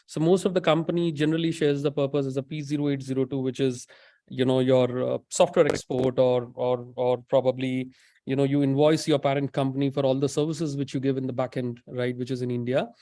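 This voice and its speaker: A man's voice: mid-range voice, little pitch movement, a little fast, warm tone, no room sound. A man who is a guest on a business webinar over a video call, explaining a banking process and often adding "right?". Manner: explanatory, many "right?" tags and fillers.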